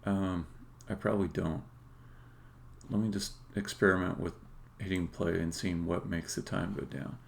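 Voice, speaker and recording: A man doing casual live-coding commentary as a game developer, muttering haltingly to himself as he thinks aloud, with keyboard clicks behind him. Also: low-pitched, steady tone, gravelly. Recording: faint background noise, keyboard clicking.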